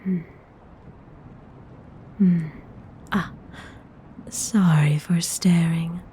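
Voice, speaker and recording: A woman voicing a genteel, courteous vampire noble in an ASMR roleplay, speaking in a breathy, husky whisper with refined, formal, coaxing phrasing. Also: lowish voice, steady tone, drawn-out words, mellow, slight background noise. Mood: tender, coaxing. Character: genteel, courteous centuries-old female vampire noble, doting and possessive.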